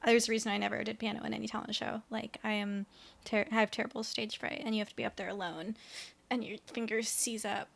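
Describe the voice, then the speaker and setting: A woman's voice: fairly high pitch, little pitch movement, fast talker, gravelly. A woman co-hosting a TV recap podcast in a casual, chatty style. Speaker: podcast co-host.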